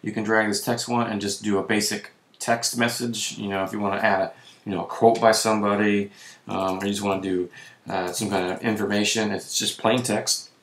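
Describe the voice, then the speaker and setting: A man with a voice that is giving out, who clears his throat often and keeps correcting himself while walking through software on screen. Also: lowish voice, flat delivery, brisk, reverberant, a little hiss or hum. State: losing his voice. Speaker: web tutorial presenter. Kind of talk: screen-recorded software walkthrough.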